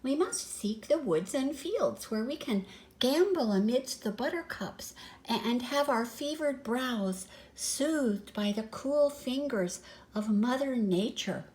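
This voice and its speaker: A pompous storybook mouse, acted out by a woman, declaiming in lofty phrases. The pitch rides high above everyday talk, with a soft, mellow tone and quick indignation. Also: expressive. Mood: grand, yearning. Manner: declamatory, grandiloquent. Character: pompous, high-flown, easily indignant male church mouse.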